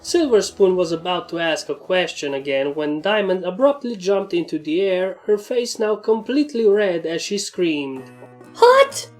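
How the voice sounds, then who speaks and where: A woman's voice: fairly low pitch, lively intonation, moderate pace, few pauses, darkish tone. Woman reading a story over music. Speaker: fan fiction narrator. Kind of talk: scripted first-person story narration.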